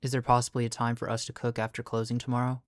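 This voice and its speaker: A man's voice: medium pitch, monotone, rapid-fire, no echo, clean studio sound, natural voice. A man playing an earnest, determined teenage boy apprentice cook, polite and plain, in a comedy voice-over. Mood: subdued, hopeful.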